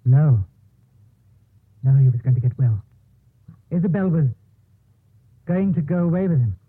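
An actor playing a menacing, lovesick doctor turned murderer in a 1950s radio drama, with an intense, brooding delivery. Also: male voice, a little high, expressive, measured pace, husky, dry recording, exaggerated voice. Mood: bitter confession. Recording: muffled, noisy 1950s radio broadcast recording.

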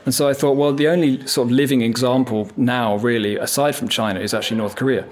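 A man in his thirties speaks in an ordinary mid-range voice as a guest in a relaxed interview. He tells long anecdotes, circles back to his point as he goes, and pokes gentle fun at himself. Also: medium pitch, fast. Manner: chatty, anecdotal, self-deprecating. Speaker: viola player. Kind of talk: casual interview chat.

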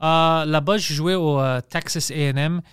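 Sweary bilingual comic host, halting accented Quebec French. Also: male voice, on the high side, fairly even intonation, fairly bright tone, smooth, no echo, clean studio sound. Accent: non-native Quebec French with English mixed in. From Montreal, Canada. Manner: sweary, halting French peppered with English slang. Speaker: podcast host and stand-up comedian. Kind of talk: casual podcast chat.

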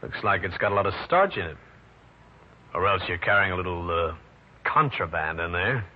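A man's voice: low-pitched, lively intonation, normal speed. A man playing a tough, wry, unruffled private detective with an American accent, in an old-time radio drama. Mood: accusing, cool. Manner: wry, unruffled, hard-boiled. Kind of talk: 1940s or 1950s radio detective acting. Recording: muffled old radio recording.

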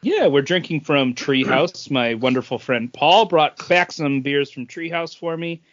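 A man's voice: on the high side, unhurried, fairly bright tone, no room sound. A man co-hosting a podcast in a casual, bantering conversational style. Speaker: podcast co-host. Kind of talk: casual chat. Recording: dull lo-fi dry close recording.

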